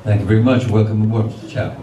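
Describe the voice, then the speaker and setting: A noisy room recording picks up a middle-aged man from a distance. He has a fairly low voice and chairs a public meeting informally and haltingly. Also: fairly even intonation, roomy sound. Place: community meeting room, indoors.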